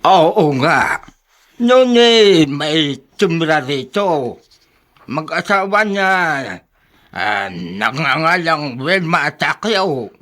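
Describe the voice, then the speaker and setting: An actor playing a wary, plain-spoken neighbor from a poor area in a Filipino radio drama, with a drawn-out, questioning delivery. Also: male voice, on the high side, big pitch swings, pushed and bright, heavily acted. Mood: wary, curious.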